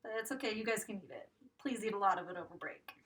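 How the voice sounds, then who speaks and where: A woman teacher reading a picture book aloud to young children, expressive and sing-song, pausing to ask guessing questions. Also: medium pitch, recorded from a distance.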